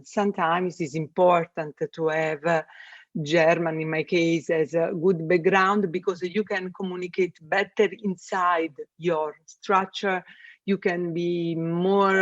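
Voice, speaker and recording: A man with an Italian accent and a notably high voice speaks as an interview guest. He pauses often and fills gaps with "uh" while phrasing things the way a non-native speaker does. The sound is dry and a little muffled. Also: dry recording. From Italy. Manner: hesitant, many fillers, non-native phrasing. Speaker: guest, space systems engineer. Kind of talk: interview answers.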